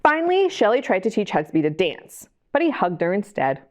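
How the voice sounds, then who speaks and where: A woman hosting storytime, reading a picture book to young children in an animated way, with chatty questions and asides to the listeners. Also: mid-range voice, fairly quick. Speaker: library storytime host and reader.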